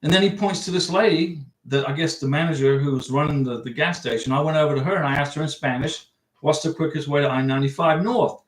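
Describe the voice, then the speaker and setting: A man's voice: a little high, quick pace, echoey room, rough recording. A man hosting a livestream, chatting casually and rambling as he reads viewer comments and answers them. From United States. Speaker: livestream host giving visa advice. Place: home room.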